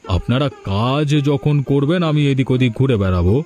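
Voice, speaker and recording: A man's voice: medium pitch, animated, normal speed, darkish tone, soft background music, lightly acted. A man voicing a mysterious, helpful stranger in a folk-tale cartoon, polite, earnest and reassuring.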